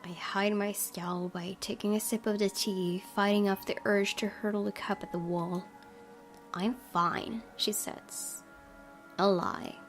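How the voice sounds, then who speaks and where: Woman narrating with a creaky edge. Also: mid-range voice, little pitch movement, unhurried, faint music behind. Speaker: game streamer reading aloud. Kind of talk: expressive visual novel narration.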